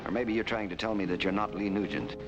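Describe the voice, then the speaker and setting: A man's voice: on the low side, fast, over music, close to an everyday voice. An American man playing a hard, curt, sarcastic police detective in a 1940s film noir. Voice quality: curt, sardonic.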